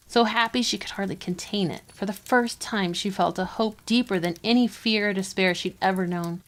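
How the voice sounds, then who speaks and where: A woman's voice: mid-range voice, normal speed. A woman narrating a legend in the style of a storyteller. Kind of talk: scripted narration. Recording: music under the voice.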